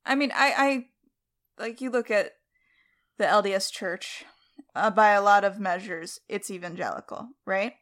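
A woman co-hosting a podcast, talking casually in a halting, conversational way with trailing asides. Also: medium pitch, a bit bright. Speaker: podcast co-host. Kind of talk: casual discussion.

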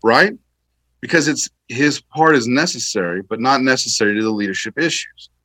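A man's voice: medium pitch, lively intonation, moderate pace, bright, forceful tone, no room sound. A man speaking as an interview guest and military veteran, explaining his views conversationally in an emphatic, repetitive way.

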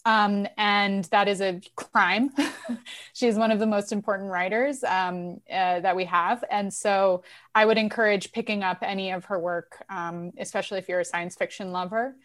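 A woman's voice: medium pitch, steady tone, normal speed, a bit bright. A woman filmmaker guest talking candidly and casually in an interview.

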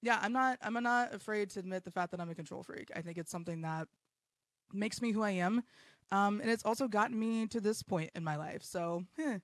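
Woman in her 30s, podcast host, blunt and self-mocking, mid-pitched, dry close sound. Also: a little fast, no room sound. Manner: blunt, self-deprecating, swears freely. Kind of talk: casual live podcast monologue. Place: home basement.

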